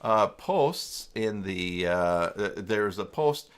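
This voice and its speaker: A man hosting a casual live software tutorial, talking informally and haltingly as he thinks aloud while chatting with viewers. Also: lowish voice, expressive, clean tone. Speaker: livestream host demonstrating website tools.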